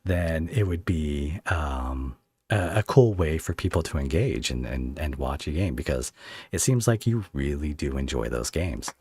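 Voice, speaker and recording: A man hosting a casual interview chat, often halting as he thinks aloud. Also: a bass voice, expressive, darkish tone, slightly rough. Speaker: podcast host and interviewer.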